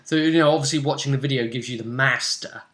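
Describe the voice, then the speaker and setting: A British man with a bright, forceful voice at a middle pitch. He hosts as game master, chatting casually through a tabletop session recap and breaking into animated asides. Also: fast. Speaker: game master, host of a tabletop RPG vidcast.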